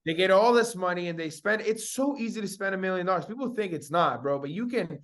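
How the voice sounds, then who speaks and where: A man's voice: high-pitched, lively intonation, fast talker, a bit bright. A young man from Spain chatting casually as an interview guest, telling personal stories in slangy, sometimes profane language. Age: young adult. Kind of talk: casual chat, personal storytelling.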